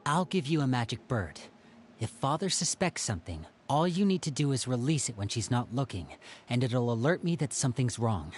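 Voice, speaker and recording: Man acting a theatrical, lively young magician. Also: medium pitch, a little fast, a little hiss or hum, lightly acted. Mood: serious, protective. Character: theatrical young male magician, protective elder brother. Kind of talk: video game voice acting.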